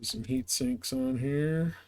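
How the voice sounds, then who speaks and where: A man with a mid-pitched voice talks casually on a gaming livestream. He rambles and thinks out loud as he plays, in the loose, conversational way of someone chatting to viewers. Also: slow pace. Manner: rambling, thinking aloud while playing. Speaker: video game livestreamer. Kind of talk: casual gaming stream chat.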